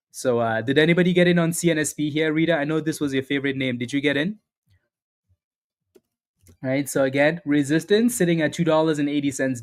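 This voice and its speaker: A man hosting a live stock trading stream, chatting casually and upbeat, repeating phrases and calling out viewers by name, a little hoarse and clearing his throat now and then. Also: fairly high pitch. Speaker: stock trading livestream host. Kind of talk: live market commentary with chat shout-outs.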